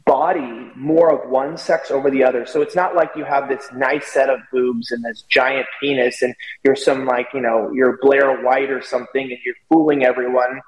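A man's voice: mid-range voice, fairly even intonation, moderate pace, few pauses. Echoey man giving opinionated commentary. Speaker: guest commentator on a talk show. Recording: echoey room with long reverb.

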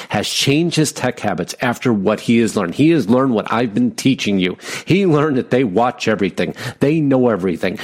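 A man's voice: mid-range voice, darkish tone. A man hosting an online broadcast, giving impassioned, emphatic commentary on news articles, reading them aloud and answering them with sarcasm.

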